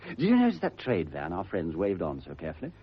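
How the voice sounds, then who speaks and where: An actor playing a flippant, unruffled gentleman adventurer with an English accent and a dry, polite manner in a radio drama. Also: sits low, huge pitch swings, fast talker. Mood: breezy. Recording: dull, noisy old radio recording.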